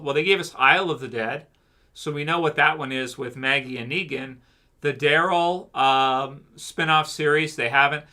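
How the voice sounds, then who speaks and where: A man's voice: fairly high pitch, bright, forceful tone. A man hosting a livestream, chatting casually and rambling with jokes while answering his chat. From Canada. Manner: rambling, joking, talks back to chat. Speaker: livestream host.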